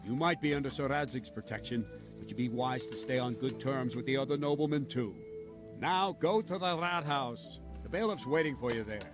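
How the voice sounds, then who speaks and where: An actor playing a stern, cautioning guard captain in a medieval video game. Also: male voice, mid-range voice, expressive, normal speed, over music, close to an everyday voice.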